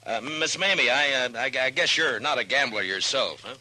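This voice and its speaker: An actor playing a steady, shrewd frontier marshal in an old radio Western, plain-spoken and measured, with an American accent. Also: male voice, mid-range voice, low-quality recording. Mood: feigned casual. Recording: old radio recording with background music.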